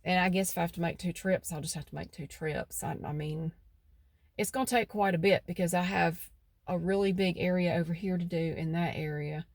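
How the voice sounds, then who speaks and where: A woman's voice: fairly low pitch, fairly even intonation, brisk, no room sound. Chatty North Carolina woman, dry close sound. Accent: central North Carolina. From central North Carolina. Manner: chatty, rambling, folksy. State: stuffy nose. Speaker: vlogger. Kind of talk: casual vlog chat. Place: outdoors by her house.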